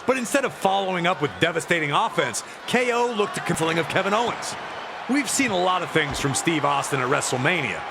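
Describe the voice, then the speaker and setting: A man voicing an excitable wrestling storyteller, narrating matches in a scripted, emphatic and dramatic way for a video game. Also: high voice. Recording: loud arena noise behind the voice.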